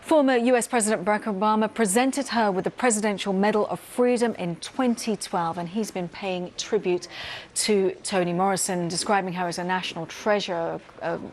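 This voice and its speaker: A woman's voice: medium pitch, moderate pace, slight background noise. A woman news presenter reading a scripted report in a composed, solemn manner.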